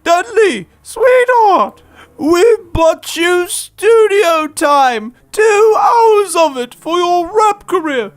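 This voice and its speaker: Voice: extremely high pitch, animated, very slow, pitched above the performer's own voice, heavily acted. A performer voicing a doting middle-aged aunt in a gushing, theatrical manner.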